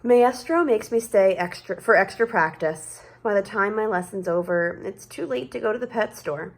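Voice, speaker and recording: A woman reading a children's story aloud in an expressive way, stretching words for dramatic emphasis. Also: medium pitch, normal speed. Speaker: storybook reader.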